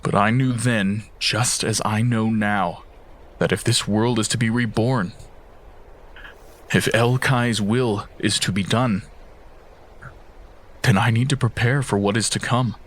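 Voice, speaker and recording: A man playing a weary, sorrowful immortal mystic in an audio drama, speaking in a solemn, measured way. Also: on the low side, fairly even intonation, a bit bright. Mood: grieving, resolute. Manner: slow, solemn, measured, sighing. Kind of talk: fan audio drama acting.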